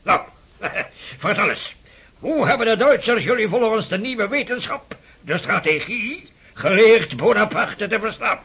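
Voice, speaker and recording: An actor playing a gruff, mocking old prince and father in a radio drama, brusque and scoffing, with a husky, gravelly voice. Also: high voice, expressive, bright, forceful tone, full character voice. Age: older. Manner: brusque, scoffing, rapid-fire questioning.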